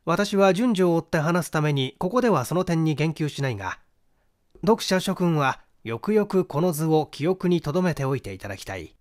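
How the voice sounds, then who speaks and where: A man reading a scripted mystery novel as narrator, in a measured, formal and precise manner. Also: a little high, expressive. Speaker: audiobook reader, stage actor.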